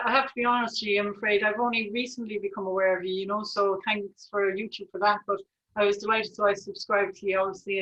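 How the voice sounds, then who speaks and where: A woman's voice: mid-range voice, a bit bright. A woman interviewing a band over a video call, effusive and generous with praise. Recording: dull lo-fi video call audio.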